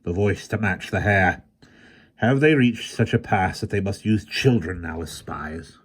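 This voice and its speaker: A man voicing a stern, dark-eyed rebel leader who speaks coldly and threateningly. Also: low voice, measured pace, husky. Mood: scornful. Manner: cold, threatening.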